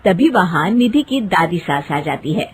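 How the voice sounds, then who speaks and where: Casting: female narrator for animated domestic tales, lively intonation, slightly rough-edged voice, scripted storytelling delivery. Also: lowish voice, measured pace. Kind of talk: scripted present-tense storytelling for a cartoon.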